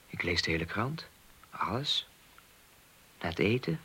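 Earnest, naive man, slightly husky. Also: on the low side, big pitch swings, unhurried, pushed and bright, heavily acted. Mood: puzzled, worried. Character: earnest, naive, lonely man worried about a missing woman. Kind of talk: naturalistic radio play acting.

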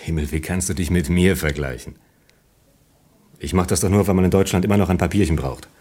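An exasperated engineer in a radio drama, with a very deep, husky voice. He is blunt and reproachful, pressing his point in natural dialogue. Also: fairly quick, warm tone. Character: Helmut, exasperated gifted male engineering colleague.

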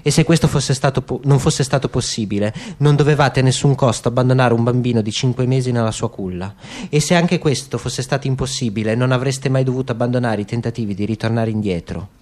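A man's voice: medium pitch, fast talker, darkish tone, natural voice. A man voicing a tall young abandoned son in a radio play, insistent and reproachful with controlled anger. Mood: cold reproach.

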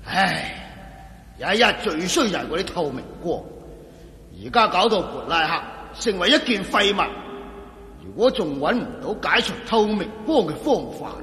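Radio drama villain: greedy, scheming crime boss, high-pitched and swooping, sneering Hong Kong Cantonese. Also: male voice, bright, forceful tone, full character voice. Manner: sneering, gloating, theatrical, with taunting interjections. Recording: echoey reverberant hall sound.

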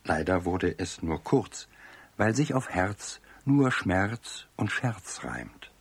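A man narrating a children's radio play as scripted storytelling, expressive and speaking directly to young listeners. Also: low-pitched, slow pace, breathy edge, no echo.

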